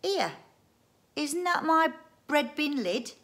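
A woman's voice: mid-range voice, fairly even intonation, fast talker, darkish tone, pitched above the performer's own voice, natural voice. A woman voicing a down-to-earth, naive housewife and mother in a matter-of-fact, guileless storybook manner. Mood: puzzled.

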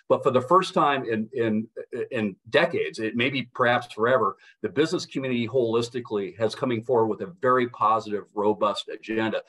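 A man's voice: lowish voice, fairly bright tone, husky. A middle-aged man speaks over a webcam from a room at home, presenting to a webinar audience. He is earnest and upbeat, often restarting sentences and doubling his words as he goes. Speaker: business association leader presenting a webinar. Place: home room with window blinds. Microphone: webcam on a video call.

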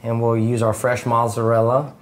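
A man's voice: medium pitch, level tone, normal speed. A man in his thirties, a chef, casually explaining his food as a guest in a cooking demonstration. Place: indoor studio kitchen. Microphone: lapel mic.

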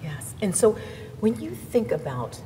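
A young woman TV reporter asking interview questions in a smooth, encouraging way. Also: sing-song, measured pace, dark tone. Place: indoor exhibit hall. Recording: hall reverb, faint rumble.

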